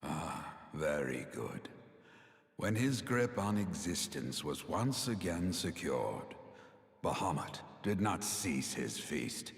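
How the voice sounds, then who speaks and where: An actor playing a grave, weary elder sage in a fantasy game, speaking in a stately, deliberate, theatrical way. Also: male voice, low voice, big pitch swings, creaky, full character voice. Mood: solemn. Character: grave, weary elder male sage recounting his downfall. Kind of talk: solemn fantasy game cutscene acting. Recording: long cavernous reverb.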